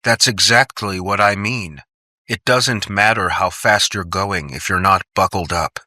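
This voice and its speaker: A man voicing a cautious male doctor in an audiobook, measured and smooth, gently admonishing. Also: low-pitched, dry recording, clean studio sound, full character voice.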